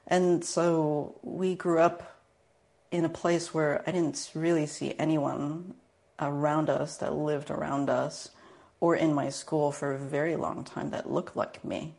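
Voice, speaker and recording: Low, creaky-voiced American woman. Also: level tone, slow pace, darkish tone. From Northern Virginia, United States. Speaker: guest, martial arts and spiritual teacher. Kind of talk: reflective personal storytelling in conversation.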